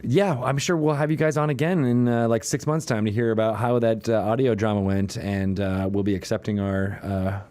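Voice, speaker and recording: A man's voice: fairly low pitch, fairly even intonation, a little fast, hardly any pauses, a little mellow. A man hosting a casual interview show, bantering in a jokey, rambling way. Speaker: podcast host.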